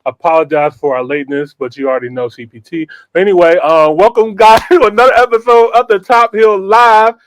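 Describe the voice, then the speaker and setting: A man hosting a podcast in casual, slangy hype style, with an animated, welcoming manner. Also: high voice, a little fast, few pauses, dry recording. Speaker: podcast host.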